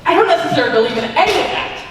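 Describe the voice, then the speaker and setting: A woman's voice: high voice, very animated, rapid-fire, fairly bright tone. A young woman playing a bewildered, reluctant man trapped in a nightmare play, in a flustered, protesting manner. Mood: panicked. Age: young adult. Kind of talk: stage comedy acting. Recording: echoey auditorium, distant mic, faint noise.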